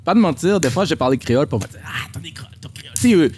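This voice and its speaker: Québécois male podcast host, higher voice, very animated pitch, playful banter with code-switching. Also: rapid-fire, a crowd in the background. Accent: Québécois French. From Quebec, Canada. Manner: lively, playful, mixes English words. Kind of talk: casual interview chat.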